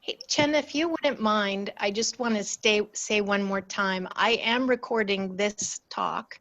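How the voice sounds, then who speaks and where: A woman who coaches storytelling, presenting an online webinar in a friendly, direct and slightly halting way over a video call. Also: mid-range voice, fairly bright tone, poor audio. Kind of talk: casual online webinar talk.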